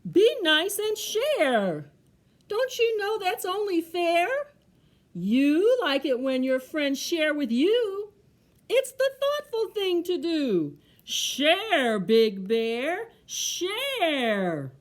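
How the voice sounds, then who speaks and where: A woman voicing a stern old male oak tree in a storybook, giving loud, drawn-out commands. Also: medium pitch, sing-song. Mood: scolding, earnest.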